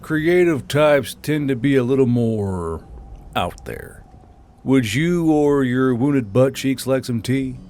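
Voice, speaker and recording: A man's voice: mid-range voice, lively intonation, takes it slowly, a little mellow, slight background noise. A man playing a wry, easygoing, teasing man in an audiobook drama. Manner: dry, teasing. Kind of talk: audiobook drama character acting.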